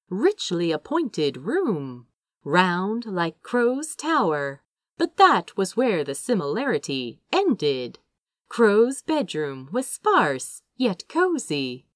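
A woman reading a story aloud as expressive scripted narration. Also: mid-range voice, no room sound, studio quality. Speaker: audiobook-style reader of fan fiction.

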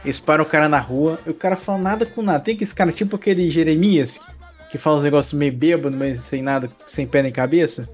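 A young man with a Rio de Janeiro accent, co-hosting a casual podcast chat and telling a story with animated energy. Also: on the high side, fast talker, soft background music. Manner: animated storyteller, sings a joke samba lines. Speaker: podcast co-host.

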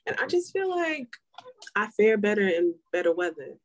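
A woman's voice: mid-range voice, very animated, moderate pace, slight background noise. A woman in her thirties co-hosting a podcast, with wry, teasing banter. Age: 30s. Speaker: podcast co-host. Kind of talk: casual podcast chat.

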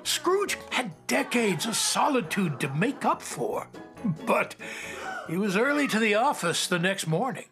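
A man's voice: high-pitched, sing-song, measured pace, music in the background. A man narrating a radio drama in a theatrical storytelling style.

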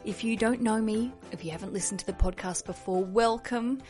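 A woman's voice: a little high, brisk, a little mellow, faint music behind. An Australian woman hosting a podcast alone, chatty, jokey and candid. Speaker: podcast host. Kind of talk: solo podcast chat.

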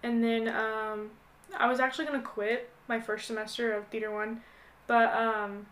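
A woman's voice: a little high, flat delivery, normal speed, a bit bright. A young American woman in her late teens, chatting casually as a podcast guest in a hesitant, rambling way with lots of "like". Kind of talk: casual chat.

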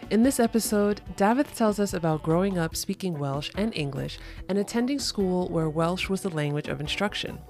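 A woman hosting a language podcast, reading her scripted introduction in an upbeat, clearly spoken way before she starts the interview. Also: fairly low pitch, a little mellow. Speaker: podcast host.

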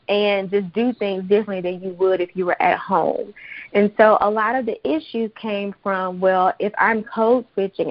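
A woman's voice: mid-range voice, no room sound. Articulate American woman, dry muffled recording. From Mississippi, United States. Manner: articulate, measured professional phrasing. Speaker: psychotherapist, interview guest. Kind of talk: explanatory interview answers.

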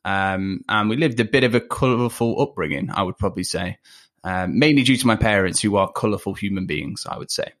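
A young man from Leicester talks loosely, his speech thick with ums and self-deprecating asides. His voice sits a little low, and he sounds like a guest on a remote podcast call from home. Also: brisk, a bit bright. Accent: Leicester English. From England. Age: 20s. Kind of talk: casual interview chat.

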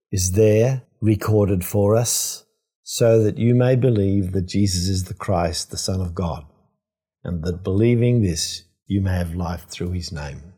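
An older man, a priest, giving a reflective scripture teaching in a measured, explanatory way with emphatic phrasing. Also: on the low side, mellow, husky.

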